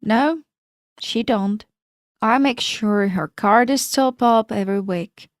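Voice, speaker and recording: A woman's voice: a little high, slow, no room sound, studio quality. A woman reading the lines of a polite, well-read married man in a storybook narration, with little change from her own voice. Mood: explaining.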